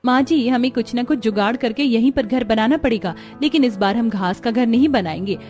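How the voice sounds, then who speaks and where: A woman's voice: fairly high pitch, fairly quick, a little mellow, faint music behind, lightly acted. A woman playing an earnest, practical village housewife in a scripted cartoon story. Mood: problem-solving. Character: village women, mother-in-law and daughters-in-law, earnest and practical.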